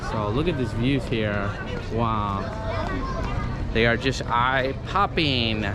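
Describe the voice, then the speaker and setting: Chatty man on a noisy riverside walk. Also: medium pitch. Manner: enthusiastic, chatty, addresses viewers as guys. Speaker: travel vlogger. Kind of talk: casual walking tour narration. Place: outdoors on a busy riverside street. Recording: loud street and traffic noise.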